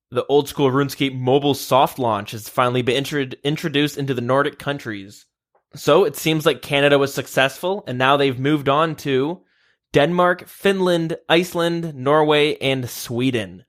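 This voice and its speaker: A man's voice: mid-range voice, normal speed. Young man steadily hosting a podcast. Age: young adult. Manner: steady, runs the show and leads topics. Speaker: podcast host. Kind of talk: casual chat, reading news. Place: shared room, two mics.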